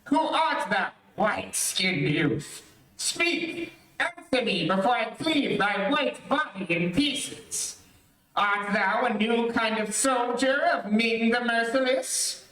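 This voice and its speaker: A woman's voice: on the high side, expressive, slow pace, a bit bright. A woman as a proud lion prince, echoey and distant. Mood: fierce, challenging. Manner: declamatory, archaic formal diction. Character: proud, loyal Lion Man prince, archaic and warlike. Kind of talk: old-time radio adventure acting. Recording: echoey, distant streamed stage audio.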